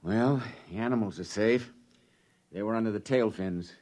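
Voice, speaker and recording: A man's voice: lowish voice, normal speed, darkish tone, lightly acted. An actor playing a practical male expedition leader with a wry, blunt way of talking, in 1950s radio drama style.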